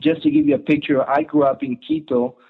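Young man, halting speech, thin phone-like sound. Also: a little high, very animated, vocal fry, husky. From Ecuador. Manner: halting, restarts phrases often. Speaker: guest. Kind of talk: casual interview. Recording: tinny phone-like line.

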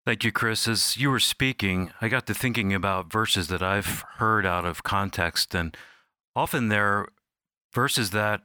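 A man's voice: on the low side, unhurried, sharp tone, clean tone, dry recording, clean studio sound. A man hosting a radio program, speaking as the presenter. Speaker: program host.

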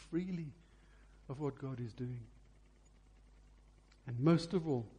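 A man's voice: fairly high pitch, creaky, dark tone, husky. A man preaching a sermon, phrasing emphatically and repeating key words for effect.

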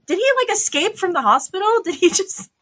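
A woman guesting on a podcast, chatting casually and informally in halting phrases as she thinks aloud. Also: very high voice, lively intonation, pushed and bright, dry recording, studio quality. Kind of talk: casual chat.

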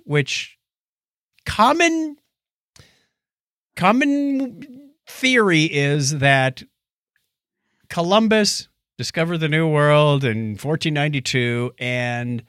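A man's voice: mid-range voice, fairly bright tone, no room sound. Man casually telling stories, close dry sound. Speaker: podcast co-host. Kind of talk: casual chat, recounting stories.

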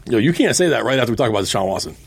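A man co-hosting a podcast in casual chat, jumping in with quick, wry jokes. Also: medium pitch, big pitch swings, gravelly, background noise. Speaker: podcast co-host.

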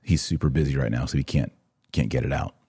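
Deep-voiced, bright man, emphatic commentator. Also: fast talker, slightly rough, no room sound, clean studio sound. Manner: emphatic, didactic, conversational. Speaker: podcast host and commentator. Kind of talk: live religious commentary, answering listener questions.

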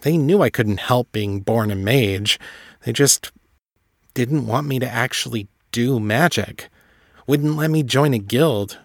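A man's voice: mid-range voice, measured pace, no echo, clean studio sound. A man as a reserved young mage. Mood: subdued.

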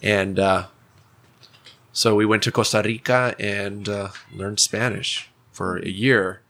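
A man from the United States in casual conversation, telling personal stories haltingly as he searches for words. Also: fairly low pitch, fairly even intonation, creaky, bright, forceful tone. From United States, raised partly in Mexico City.

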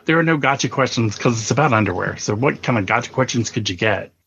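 A man's voice: medium pitch, lively intonation, quick pace, vocal fry, fairly bright tone, husky. A man hosting a casual podcast interview, chatty and fond of telling little stories. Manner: chatty, storytelling, laughs easily. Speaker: podcast host. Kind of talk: casual chat. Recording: slightly dull, muffled sound.

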